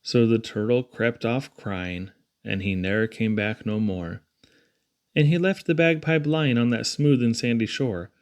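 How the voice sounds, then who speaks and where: A man reading humorous children's poetry aloud in a theatrical, rhythmic, expressive way. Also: mid-range voice, unhurried. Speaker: poetry reader.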